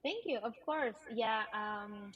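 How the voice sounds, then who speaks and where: A young woman from Peru, a teacher, talking casually as a podcast guest and pausing often with ums and uhs. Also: on the high side, slightly rough, highs cut off. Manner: hesitant, many ums and uhs. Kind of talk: casual interview conversation.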